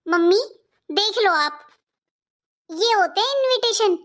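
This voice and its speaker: A woman's voice: very high voice, animated, takes it slowly, a bit bright, full character voice. A woman voicing an impatient young married daughter in a cartoon family drama, nagging and pressing as she talks. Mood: complaining. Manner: pressing, complaining.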